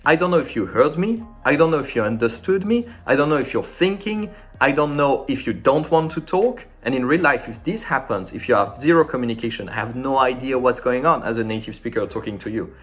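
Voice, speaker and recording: A man at an ordinary middle pitch explains French conversation tips in English, his second language. He talks casually and with energy, and a little guitar music plays faintly underneath. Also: fairly quick, few pauses. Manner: animated and informal, acting out sample dialogues.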